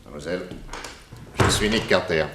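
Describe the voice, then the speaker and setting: An actor playing a self-assured, jovial private detective in a French radio drama, inquisitive and wry in a conversational way. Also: male voice, deep voice, fairly quick, noisy background. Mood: businesslike.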